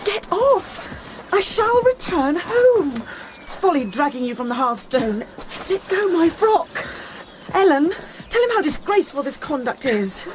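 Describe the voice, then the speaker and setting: A woman's voice: sits high, sing-song, brisk, slight background noise. An actress playing a proud, impatient young woman, scornful and defiant. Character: proud, fiery young woman, a master's daughter. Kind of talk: radio drama acting.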